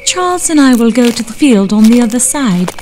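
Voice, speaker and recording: A woman playing a fretful, fearful Victorian gentlewoman and mother in a period audio drama, with old-fashioned phrasing. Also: on the high side, expressive, fairly quick, slight background noise, close to an everyday voice. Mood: worried.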